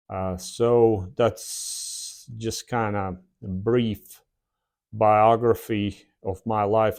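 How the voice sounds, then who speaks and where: A man in his forties from the former Yugoslavia, with a Slavic accent and a Southern drawl, telling his own story plainly with many "uh" pauses in his shed workshop. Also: lowish voice, darkish tone. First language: a South Slavic language. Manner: plain, unhurried, frequent "uh" pauses. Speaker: channel host. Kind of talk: casual personal storytelling.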